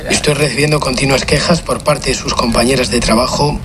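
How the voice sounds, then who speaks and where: A man playing a stern, formal office boss with a deadpan manner in a comedy sketch. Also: medium pitch, a little fast, music in the background, lightly acted. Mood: formal reproach.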